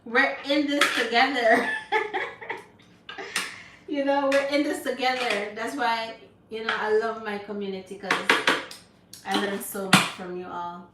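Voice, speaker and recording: A woman's voice: fairly high pitch, fairly even intonation, a little slow, pauses often, distant mic. A young woman with a Jamaican accent chatting on a live stream, laughing often and thanking her viewers. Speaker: livestream host. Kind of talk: casual live-stream chat. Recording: roomy sound from off-mic, background noise.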